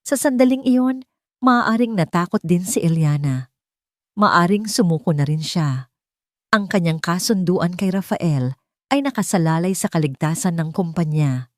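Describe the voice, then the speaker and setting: A woman reading a scripted dramatic story as narrator, with deliberate phrasing. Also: fairly low pitch, expressive, no room sound, studio quality.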